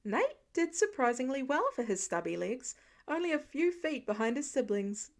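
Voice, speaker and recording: A woman reading fiction aloud as an expressive, scripted story narration. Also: high-pitched, normal speed. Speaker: audiobook-style fan fiction reader.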